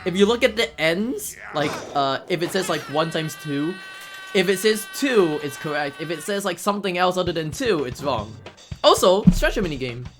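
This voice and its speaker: A man playing a video game live and commentating on it casually in a jokey, excitable way as a speedrunner. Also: high voice, moderate pace. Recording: game music behind the voice. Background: video game music.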